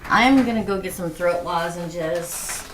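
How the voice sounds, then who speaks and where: A hoarse woman who is losing her voice, hosting a livestream reading and chatting softly and slowly. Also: fairly low pitch, slight background noise. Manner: soft-spoken, slow and chatty. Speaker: tarot reader hosting a livestream. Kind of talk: casual livestream chat.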